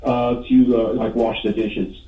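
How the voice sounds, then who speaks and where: A man in his fifties telling stories as an interview guest in a halting, rambling way, repeating words as he goes. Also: medium pitch, little pitch movement. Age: 50s. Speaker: radio show guest, paranormal investigator. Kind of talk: casual interview storytelling. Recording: tinny phone line, echoey, distant.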